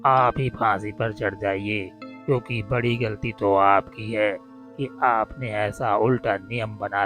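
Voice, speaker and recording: A man voicing a calm, clever guru in a cartoon, measured and persuasive in his reasoning. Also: fairly low pitch.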